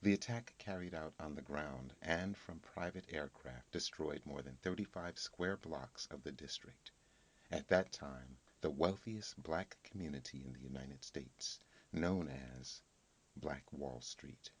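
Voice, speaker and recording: A man's voice: a bass voice, unhurried, husky, low-quality recording. A man reading a scripted encyclopedia article as a narrator.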